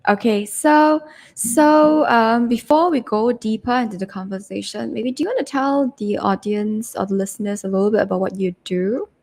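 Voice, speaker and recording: A woman's voice: on the high side, moderate pace, darkish tone. A woman in her thirties hosting a casual podcast interview, chatty and teasing, laughing often. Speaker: guest host.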